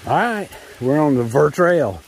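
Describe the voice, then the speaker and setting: A man talks at a very unhurried pace as he narrates a trail hike outdoors. His delivery is casual and halting, wandering from one thought to the next with a wry edge. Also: fairly high pitch, sing-song, a little hiss or hum. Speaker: hiking vlogger. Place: outdoors on a forest trail in rain.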